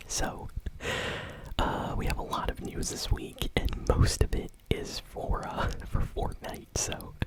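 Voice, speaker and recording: A woman whispering gaming news in an ASMR style as the show's host, soft, conversational and unhurried. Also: poor audio.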